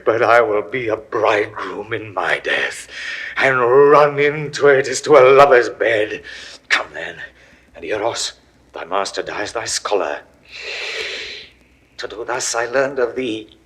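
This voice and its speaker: An actor playing a despairing middle-aged Roman general in a 1960s verse drama, speaking gravely and slowly, often in hushed whispers, rising to anguished cries. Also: male voice, fairly low pitch, expressive, heavily acted. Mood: resigned. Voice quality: husky, lapsing into hushed whispers. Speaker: stage actor.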